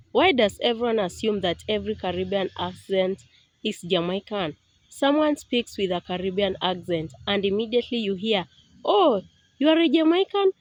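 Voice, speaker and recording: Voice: mid-range voice, dry recording. A channel host reading a scripted, explanatory introduction to a reaction video.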